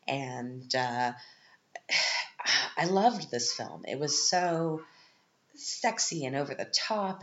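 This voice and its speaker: A woman's voice: rumbling low, a bit bright. A woman in her thirties with a stuffed-up, scratchy voice, chatting casually and rambling as a podcast host at home. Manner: chatty and rambling, with frequent laughs. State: stuffed up, congested. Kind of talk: casual chat. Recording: roomy, dull lo-fi sound.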